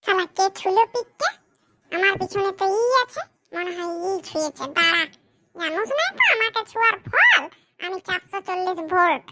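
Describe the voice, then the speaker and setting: Squeaky young cartoon villager, extremely high pitch, bickering, cheeky, dialect, clear background noise. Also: expressive, moderate pace. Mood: indignant. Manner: teasing, scolding, bickering.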